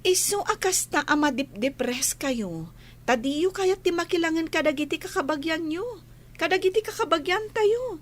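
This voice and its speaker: A woman's voice: very high-pitched, lively intonation, moderate pace. A woman playing a kind young grown daughter in a radio drama, speaking in an earnest, pleading way. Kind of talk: Ilocano radio drama acting.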